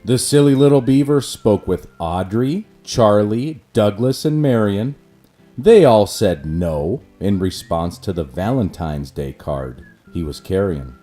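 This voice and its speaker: A low-voiced man reads a rhyming children's story aloud at an unhurried pace. His delivery is expressive and swings freely in pitch, with soft music underneath. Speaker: narrator.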